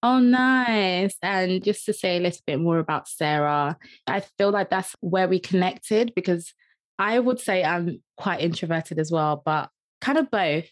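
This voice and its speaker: Young female podcast host, lowish voice, dry close sound, bubbly and laughing. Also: measured pace, no echo. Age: young adult. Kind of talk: casual interview chat.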